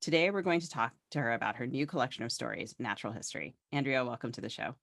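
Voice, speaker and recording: A woman's voice: a bass voice, lively intonation, fast talker, gravelly, a bit bright, no echo, studio quality. A woman co-hosting a podcast, moving between casual chat and scripted introductions. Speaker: podcast co-host.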